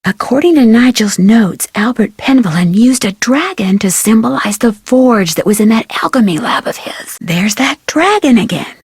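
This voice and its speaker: A woman's voice: a little high, expressive, normal speed, hardly any pauses, husky, no room sound, studio quality, exaggerated voice. A woman playing a curious, plucky young detective who thinks aloud in scripted adventure game lines. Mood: curious, musing. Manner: inquisitive, self-talking. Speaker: video game voice actor.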